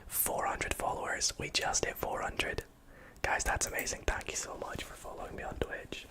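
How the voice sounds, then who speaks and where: A person with a Scottish accent whispering softly into a close microphone while answering chat questions on a livestream, with frequent ums. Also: moderate pace. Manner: soft whisper, reading chat aloud with many fillers. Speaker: ASMR streamer.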